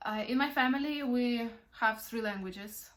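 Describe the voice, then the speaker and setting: A woman's voice: fairly high pitch, unhurried, a bit bright. A young woman from Ukraine, a native Russian speaker, chatting casually as a vlogger and mother, answering questions with lots of ums and uhs. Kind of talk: casual Q&A while wrapping gifts.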